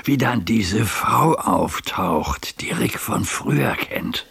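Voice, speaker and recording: An actor in a radio drama playing a forgetful, bedridden old man, needy and querulous, with a raspy, creaky voice. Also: lowish voice, animated, slow pace, a bit bright, heavily acted. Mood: puzzled, needy. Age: older.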